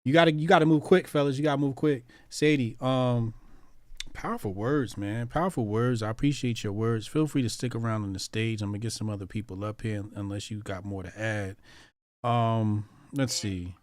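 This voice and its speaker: A man with hosts a live chat room, teasing his guests in a playful, slangy way. His voice sits at a middle pitch and sounds dry and close. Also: moderate pace, no echo. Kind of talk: casual live chat hosting.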